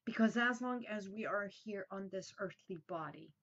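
A woman in her fifties, originally from Iran with Armenian as her first language, hosting a reflective talk to camera from a small room at home and letting her phrases trail off softly. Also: mid-range voice, lo-fi sound. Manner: soft and slow, trails off at phrase ends. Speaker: host.

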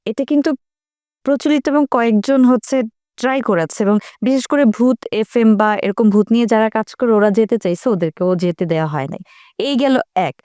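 A woman's voice: fairly high pitch, normal speed, warm tone, clean tone, dry recording, clean studio sound. A woman with a Bangladeshi accent telling casual anecdotes in a group chat, dropping in English words.

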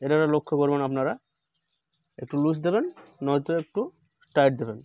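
A man's voice: fairly high pitch, brisk, no echo. Man explaining a repair step by step. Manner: repetitive, step-by-step instructing. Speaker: repair channel host. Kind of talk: hands-on repair tutorial. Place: indoor workbench. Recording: dull lo-fi phone recording.

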